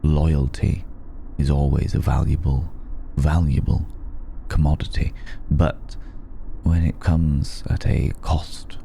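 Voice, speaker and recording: Man playing a very deep, slow ancient vampire. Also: flat delivery, creaky, a little hiss or hum, full character voice. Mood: measured, confiding. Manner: slow, halting, deliberate, formal phrasing with soft chuckles. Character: ancient, courteous, worldly male vampire, patient and seductive. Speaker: ASMR roleplay voice actor. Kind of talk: intimate ASMR roleplay.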